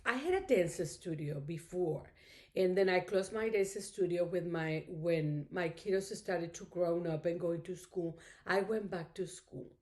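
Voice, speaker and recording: A woman's voice: lowish voice, a little slow, a little mellow. A middle-aged woman whose first language is Spanish, chatting casually to her viewers in a rambling, thankful way full of "you know"s. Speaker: craft vlogger. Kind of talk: casual chat to camera.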